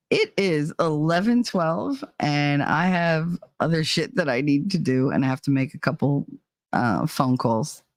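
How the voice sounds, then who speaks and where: A woman's voice: low-pitched, no room sound. Middle-aged American woman, casual live-chat host. Blunt, teasing, sweary delivery, recorded dry. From New Jersey, United States. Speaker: live talk show host.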